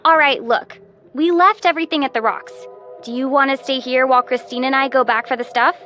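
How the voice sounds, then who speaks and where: Woman playing an impatient high-pitched teenage girl. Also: steady tone, fairly quick, pushed and bright, soft background music. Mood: bossy. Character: impatient teenage girl minding younger children. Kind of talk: radio drama dialogue.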